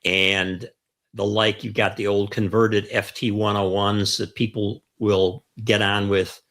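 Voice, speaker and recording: Low-voiced older American man hosting. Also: little pitch movement, measured pace, fairly bright tone. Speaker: host of a ham radio show. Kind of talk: conversational explainer with a co-host.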